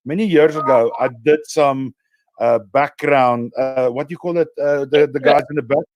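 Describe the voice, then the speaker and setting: A man's voice: medium pitch, moderate pace, no echo, lo-fi sound. A man co-hosting an interview, telling rambling stories with hesitant fillers. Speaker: co-host. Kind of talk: casual interview chat.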